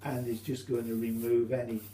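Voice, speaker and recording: A British man giving an informal, halting how-to demonstration, explaining each step as he goes. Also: mid-range voice, level tone, warm tone. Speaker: hobbyist demonstrator. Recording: roomy echo, faint hum.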